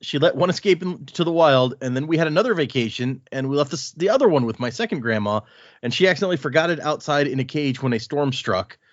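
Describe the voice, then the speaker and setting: A man hosting a podcast, reading a listener's email aloud and adding his own quick asides between lines. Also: a little high, dry recording. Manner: reads with lively asides and comments between lines. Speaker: podcast host. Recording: dry, slightly dull lo-fi sound.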